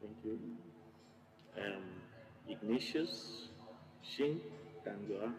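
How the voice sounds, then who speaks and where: A man from Cameroon, a missionary priest, answering interview questions in a measured, thoughtful way with pauses. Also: on the low side, expressive, creaky, echoey room, poor audio.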